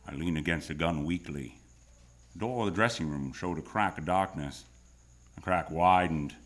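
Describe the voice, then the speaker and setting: A man's voice: low voice, moderate pace, a bit bright. An actor with an American accent playing a tough, wisecracking hardboiled hero who tells his story in a noir radio drama, wry and terse. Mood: tense narration. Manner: wry, terse, world-weary. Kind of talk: first-person noir radio drama acting.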